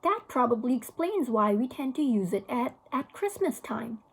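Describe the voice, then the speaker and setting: A woman reads a children's novel aloud in a high voice with a husky edge. She sounds like a teacher delivering a story to young pupils. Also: very animated, moderate pace.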